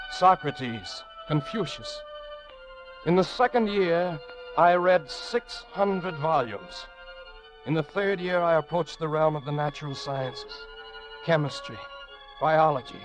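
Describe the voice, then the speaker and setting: A man's voice: on the high side, poor audio. An actor playing an anguished, lonely prisoner recounting his confinement in an old radio drama, with theatrical, intense delivery. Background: music.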